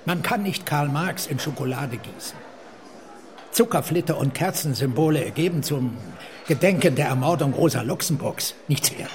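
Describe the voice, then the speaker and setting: An actor playing an earnest, declamatory East German communist functionary in a literary radio play, with a husky voice. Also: male voice, a little high, expressive, moderate pace, a little hiss or hum, lightly acted. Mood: earnest argument.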